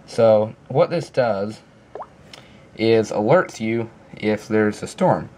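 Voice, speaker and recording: Guy w a lowish voice casually explaining stuff like an app review, plain and informal. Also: lively intonation, takes it slowly, darkish tone, slight background noise.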